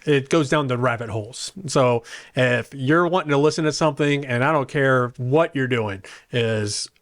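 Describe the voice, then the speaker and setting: A man's voice: mid-range voice, moderate pace. A man co-hosting a real estate podcast, talking with enthusiasm. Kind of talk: casual podcast chat.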